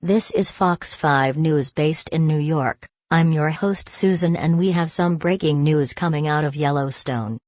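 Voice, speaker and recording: A woman playing a formal, measured TV news anchor reading a scripted breaking news bulletin. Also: deep voice, darkish tone, dry recording, clean studio sound. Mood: serious, composed.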